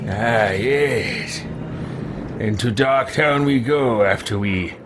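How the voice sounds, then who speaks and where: A man's voice: mid-range voice, takes it slowly, heavy background noise. A man hosting a gaming video, giving casual commentary as he mutters to himself about where to go next. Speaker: gaming video host roleplaying a character. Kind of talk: casual let's play commentary.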